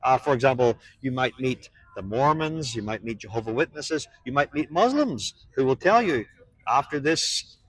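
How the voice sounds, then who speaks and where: A man's voice: mid-range voice, lively intonation, moderate pace, slightly rough, no echo, low-quality recording. A man preaching to passers-by on a street, earnest and pressing them with questions. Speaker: street evangelist. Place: outdoors on a town street.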